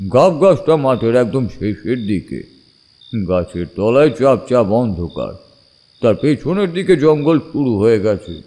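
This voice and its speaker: A man performs an older village uncle telling an eerie tale of his youth in an audio drama. His mid-pitched voice swings widely and expressively. The tone is dark and mellow, with suspense in the telling. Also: natural voice. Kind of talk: dramatized horror narration.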